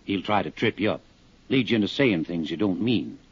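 A man's voice: deep voice, expressive, brisk, breathy edge, full character voice. An actor playing a protective, suspicious, stubborn man in love in a 1950s radio drama, speaking insistently and defensively. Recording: dull 1950s radio recording.

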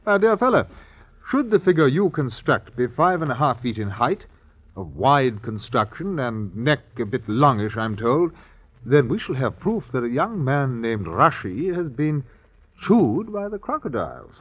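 Genteel English police inspector, husky, animated. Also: male voice, medium pitch, measured pace. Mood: genteel, brisk. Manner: genteel, condescending, old-boy politeness. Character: patient, polite colonial English police inspector. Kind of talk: old-time radio drama acting. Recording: muffled old radio recording.

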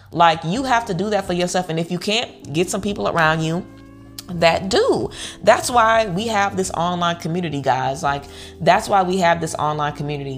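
An American woman giving an informal motivational pep talk, speaking bluntly and emphatically, repeating phrases to drive them home. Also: fairly low pitch. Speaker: online community host giving self-worth advice.

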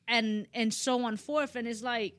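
A woman's voice: on the high side, steady tone, a little slow, dry recording. A young woman guest on a podcast, talking casually and loosely, with lots of "you know" and playful jokes. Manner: rambling, heavy on "you know" and "like", jokey asides. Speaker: podcast guest, spiritual speaker. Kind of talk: casual chat.

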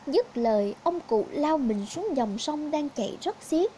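A woman's voice: sits high, expressive, a little slow, warm tone, slight background noise. A woman narrating scripted fairy tales for young children as a storyteller.

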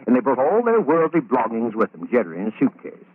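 A man's voice: mid-range voice, huge pitch swings, fast talker, slightly rough, no echo. An actor playing a genteel madman who murders women for profit, theatrical and smug, muttering repeated phrases to himself in old-time radio horror style. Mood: coaxing, relishing. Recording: muffled, thin old radio recording.

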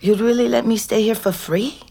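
An actress playing a weary, foul-mouthed middle-aged ex-convict, telling her story in a halting, blunt, confessional way. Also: fairly high pitch, steady tone, vocal fry, warm tone, no echo. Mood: anxious, pleading. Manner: halting, blunt, conversational, profane. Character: weary, foul-mouthed, wary woman ex-convict, a rootless New Yorker. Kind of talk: confessional fiction podcast narration and dialogue.

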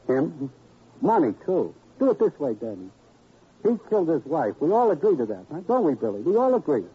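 Husky wisecracking crook, old radio drama. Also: male voice, fairly high pitch, big pitch swings, normal speed, full character voice. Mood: bargaining. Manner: wisecracking, slangy tough talk. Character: cornered, bargaining male murderer with a gun. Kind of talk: 1950s radio crime drama acting. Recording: dull, muffled old radio recording.

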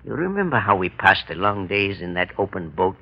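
Gravelly, vengeful convict, old radio thriller. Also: male voice, deep voice, sing-song, a little fast, full character voice. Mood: menacing. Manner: menacing, theatrical, grim. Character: vengeful escaped convict, menacing, disguised in false beard. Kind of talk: 1930s-40s radio thriller acting. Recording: dull, lo-fi old radio recording.

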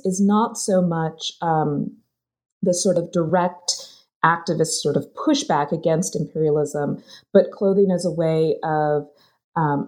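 A woman's voice: on the low side, darkish tone. A woman author discussing her research in a thoughtful, hesitant way, with many fillers and restarts. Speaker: author being interviewed. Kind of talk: academic conversation.

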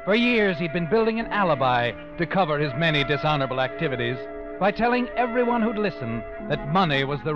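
An ominous, mysterious storyteller of the night, played by a male actor in a vintage radio mystery. His American voice sits fairly high and moves with dramatic deliberation. The recording is muffled and old, with clear music behind him. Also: lightly acted. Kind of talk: 1940s radio mystery narration.

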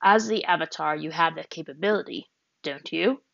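Calm old man, wise and unhurried, read by a woman; slow, low delivery in a dry lo-fi reading. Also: creaky, dry recording, exaggerated voice. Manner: slow, gentle and reassuring. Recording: dry, dull lo-fi audio.

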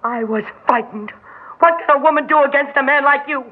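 Woman doing scared pleading wife, really high voice, old radio drama, thin lo-fi sound. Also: brisk, natural voice. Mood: fearful, defensive. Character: frightened married woman, anxious, pleading and defensive. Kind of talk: vintage radio drama acting. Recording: band-limited old radio broadcast recording.

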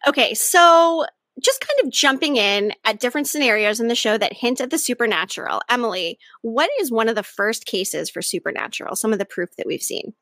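A woman's voice: fairly high pitch, expressive, fairly quick, fairly bright tone, no echo. A woman hosting a live-streamed fan podcast and guiding the chat in an upbeat way. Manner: upbeat, moderating. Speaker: podcast host.

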